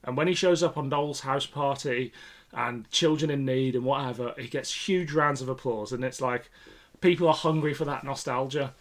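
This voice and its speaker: A man's voice: mid-range voice, moderate pace. A man co-hosting a podcast, talking casually with opinionated, sweary remarks in a review chat. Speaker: podcast co-host.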